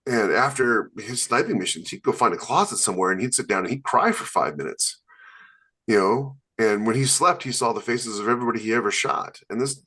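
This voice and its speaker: A man's voice: on the low side, animated, a little fast, pushed and bright, slightly rough. A man co-hosting a podcast in casual panel chat, talking in a rambling, emphatic way full of "you know" fillers. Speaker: podcast co-host and author.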